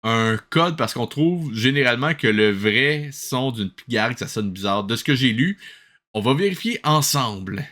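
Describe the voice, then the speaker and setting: Un homme à l'accent québécois qui commente de vieux dessins animés de façon décontractée et sarcastique, un peu exaspéré. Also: male voice, mid-range voice, expressive, normal speed, fairly bright tone. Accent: Quebec French. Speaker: host of a web show reviewing old cartoons. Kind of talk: casual comedic commentary.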